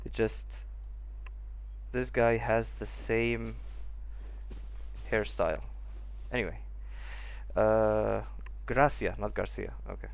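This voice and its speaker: A man's voice: lowish voice, slow pace, vocal fry, long pauses. Incredulous man doing casual game commentary. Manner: rambling, incredulous. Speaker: gaming streamer.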